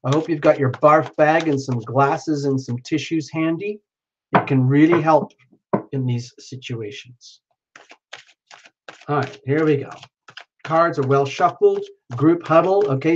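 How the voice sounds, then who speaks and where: A man's voice: fairly high pitch, unhurried, muffled recording, slight background noise. A man hosting a live stream, giving a casual, exuberant tarot reading and speaking straight to the viewer while losing his voice. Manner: exuberant, chatty, addresses viewer by name.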